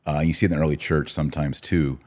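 A man with a notably deep voice hosts a casual religious discussion podcast. He explains things conversationally, as if chatting across a table. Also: steady tone, fast talker, a little mellow. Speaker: podcast host.

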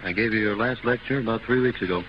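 A male actor plays a firm, fatherly theatre manager with a fairly low voice. His plain, measured delivery comes through an echoey, muffled 1930s radio broadcast with slight noise. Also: natural voice. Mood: stern, regretful. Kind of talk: 1930s radio drama acting.

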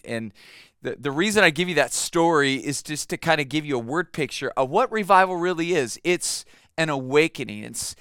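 Bright, crisp-voiced man preaching. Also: mid-range voice, dry recording, clean studio sound. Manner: conversational, with fillers and repeated words. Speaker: pastor. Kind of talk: sermon with personal storytelling.

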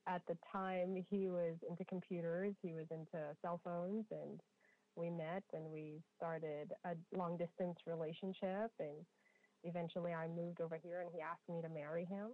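A woman's voice: on the low side, no room sound. A woman from Trinidad and Tobago telling her personal story conversationally as a podcast guest over a tinny, narrow call line.

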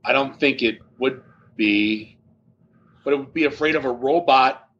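American male co-host, mid-pitched, blunt and sardonic, offhand chat, slightly noisy recording. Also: a little slow, highs cut off. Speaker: co-host of a tech talk show. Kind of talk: casual chat.

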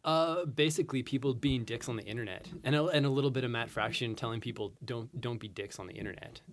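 A man talking casually as a podcast guest, halting and repeating words as he starts his sentences. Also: mid-range voice, a little hiss or hum. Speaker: podcast guest, comics blogger. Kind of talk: casual conversation.

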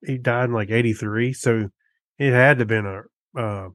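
A man's voice: medium pitch, fairly even intonation, moderate pace, a bit bright, dry recording, studio quality. A man co-hosting a podcast, chatting casually in a slightly hesitant, conversational way. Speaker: podcast co-host. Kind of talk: casual chat.

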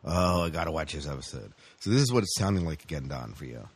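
A man's voice: very deep voice, big pitch swings, quick pace, slightly rough. A man co-hosting a podcast, chatting casually in an enthusiastic, rambling way as a fan of sci-fi shows. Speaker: podcast co-host.

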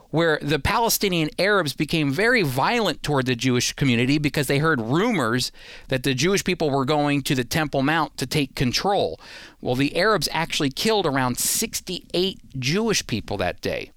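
Clear, higher-pitched man hosting an explainer. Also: fairly bright tone, dry recording, studio quality. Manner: emphatic, repeats key points for the listener. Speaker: show host. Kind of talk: explanatory history talk to listeners.

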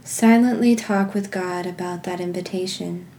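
A woman leading guided contemplative prayer and reading scripture in a measured, meditative manner with pauses. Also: fairly low pitch, steady tone, warm tone, slight background noise. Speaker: guided prayer podcast host.

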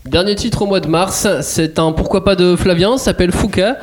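A man's voice: sits high, normal speed, background noise. A man hosting a discussion, presenting titles in a casual, conversational way. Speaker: host of a manga discussion.

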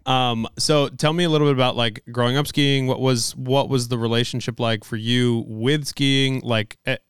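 A man's voice: mid-range voice, steady tone, normal speed, smooth, dry recording, studio quality. A man hosting a podcast, talking loosely with lots of fillers and swearing, and tired after a long day. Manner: loose and profane, many fillers. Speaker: podcast host. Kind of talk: casual podcast talk with ad reads.

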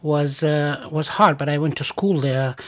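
Afghan-accented man, halting and careful. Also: fairly high pitch. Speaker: guest, cancer researcher. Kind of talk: radio interview.

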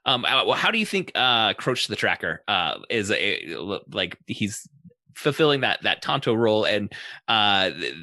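A man with a bright, forward voice pitched on the low side hosts a podcast discussion. He talks casually and analytically, phrasing his thoughts haltingly, in a dry, close recording. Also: very animated, dry recording.

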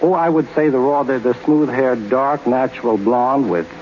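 A man giving measured, formal, slightly halting answers as a guest in a scripted 1930s radio interview. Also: medium pitch. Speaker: interview guest, fashion and model expert. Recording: muffled, noisy 1930s radio broadcast.

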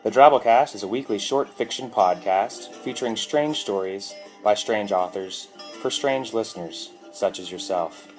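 Male podcast host, fairly low voice, offbeat dry humour, light music underneath. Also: moderate pace, darkish tone, rough recording. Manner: offbeat, dryly joking. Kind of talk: scripted podcast introduction and narration.

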